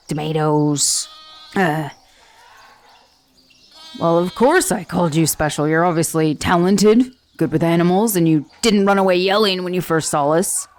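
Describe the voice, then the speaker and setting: A woman voicing a boastful, easygoing female titan warrior head who teases a stranger in an ASMR roleplay. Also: fairly low pitch.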